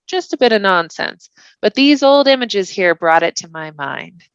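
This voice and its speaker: A woman's voice: mid-range voice, expressive, moderate pace, clear, resonant tone, dry recording, dull audio, close to an everyday voice. A woman voicing a humble young male hobbit with folksy rustic grammar, for a storybook. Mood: modest.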